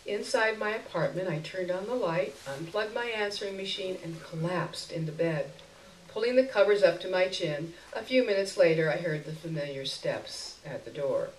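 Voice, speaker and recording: A woman giving an expressive live reading of a first-person short story, in a literary narration style. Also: on the low side, moderate pace, slight background noise. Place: indoor stage before an audience. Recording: roomy live stage recording, distant mic.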